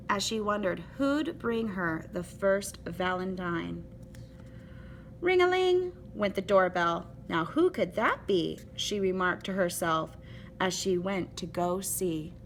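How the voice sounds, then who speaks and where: A woman reading a children's picture book aloud with an expressive, rhythmic delivery. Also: lowish voice, a little slow, a little hiss or hum. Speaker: storybook reader.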